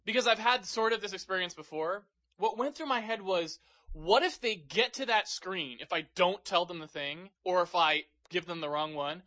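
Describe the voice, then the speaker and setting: A man with a high, bright voice talks casually on a podcast mic. His rants are animated and full of profanity, and he tells them with gusto. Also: normal speed. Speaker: podcast co-host. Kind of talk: casual chat. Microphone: podcast microphone.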